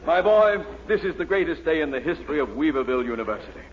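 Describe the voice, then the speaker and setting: An actor playing a pompous, gushing university dean in a 1940s radio comedy, on a muffled old recording. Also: male voice, a little high, expressive, fast talker, close to an everyday voice. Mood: gushing, awed. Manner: pompous, effusive, grandiose.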